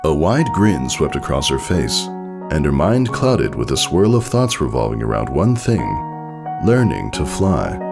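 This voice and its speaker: A man's voice: a bass voice, sing-song, a little slow, loud music behind the voice. A man reading scripted storybook narration as the narrator of a piece of fiction.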